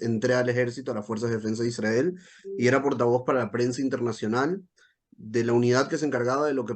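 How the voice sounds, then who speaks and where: A man in his thirties from Venezuela with a Venezuelan accent, speaking as a guest to an audience in a measured way, with frequent hesitation sounds. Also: mid-range voice. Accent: Venezuelan Spanish. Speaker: guest speaker, military spokesperson. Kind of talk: talk to a live audience.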